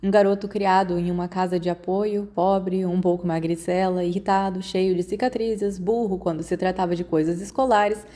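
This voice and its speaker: Mellow Brazilian woman reading a story. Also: on the low side, fairly even intonation, moderate pace, few pauses. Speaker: audiobook reader. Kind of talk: scripted storytelling narration.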